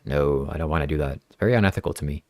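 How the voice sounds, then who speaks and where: A man's voice: very deep voice, rapid-fire, no echo, studio quality. A man whose first language is Ukrainian, hosting a casual podcast and earnestly reading viewer comments aloud. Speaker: podcast host. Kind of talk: casual livestream podcast chat.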